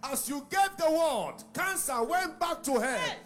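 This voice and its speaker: A man in a church service, briskly reporting a healing testimony to the preacher. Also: very high-pitched, sing-song, a bit bright. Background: music.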